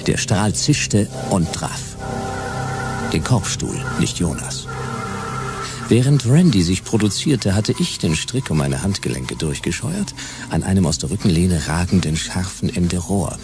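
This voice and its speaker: An actor playing a tough, sardonic private detective in a noir sci-fi radio drama, deadpan and laconic. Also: male voice, deep voice, unhurried. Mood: cool narration. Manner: deadpan, laconic, clipped sentences. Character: hard-boiled male private detective, tough, sardonic, cool-headed. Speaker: lead actor and first-person narrator in a radio play. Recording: music behind the voice.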